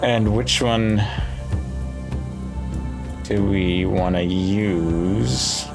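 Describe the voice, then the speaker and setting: A man giving casual gameplay commentary, thinking aloud in short, musing phrases with pauses between them. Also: lowish voice, vocal fry. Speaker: let's play host. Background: game music.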